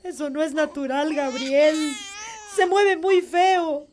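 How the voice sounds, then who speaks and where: A woman's voice: high voice, steady tone, takes it slowly, darkish tone, slight background noise. A sobbing, terrified young mother. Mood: pleading, crying. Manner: sobbing, pleading. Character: frightened young mother refusing her uncanny baby.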